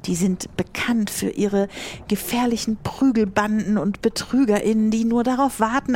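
A woman's voice: medium pitch, darkish tone, lightly acted. A woman playing a motherly, cautious crew leader who instructs and protects seafarers in an improvised audio drama, with some noise behind.

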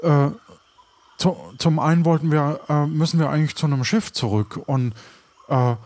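A man's voice: fairly high pitch, moderate pace, darkish tone, dry recording, lightly acted. A man playing an earnest, awkward adventurer in an improvised audio drama, speaking hesitantly and politely. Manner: hesitant, polite, tag questions. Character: Sören, earnest, awkward young male pirate adventurer. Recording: faint background noise.

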